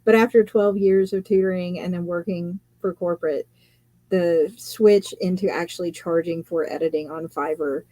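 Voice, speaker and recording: A woman with a low, mellow voice, a guest author and editor in a relaxed live chat. She tells long stories, acts out what others said and laughs easily. The sound is dry and close. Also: moderate pace, dry recording, poor audio. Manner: chatty storytelling, quotes others, laughs often.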